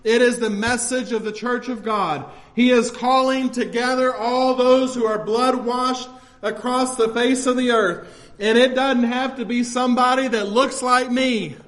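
An American man preaches with a strikingly high-pitched voice. His sermon delivery is impassioned and emphatic, urging his listeners on in plain, folksy phrasing. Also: fairly even intonation, a little slow, a bit bright.

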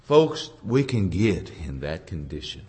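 A hoarse man preaching an informal sermon in a halting, folksy way, searching for his words and clearing his throat. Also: sits low, very animated, a little mellow. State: hoarse from a cold. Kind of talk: informal sermon with congregation responses.

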